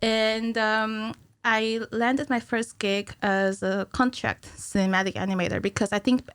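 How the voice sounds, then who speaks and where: A woman's voice: a little high, steady tone, unhurried, fairly bright tone, smooth, no room sound. A young woman speaking casually and chattily as a podcast guest, close to a studio microphone. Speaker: podcast guest, cinematic animator. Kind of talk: casual conversational interview. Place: small curtained podcast studio. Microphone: studio microphone on stand, close.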